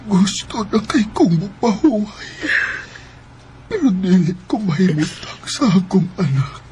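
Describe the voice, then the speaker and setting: Slow, halting, sick Cebuano husband. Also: male voice, high voice, sing-song, a little mellow, a little hiss or hum. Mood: sorrowful. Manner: weak, halting, pleading. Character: sick, remorseful older husband begging forgiveness. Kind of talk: Bisaya radio drama acting.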